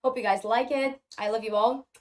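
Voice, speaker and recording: Woman speaking halting English. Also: a little high, fairly even intonation. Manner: halting, searching for English words. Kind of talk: casual vlog talk.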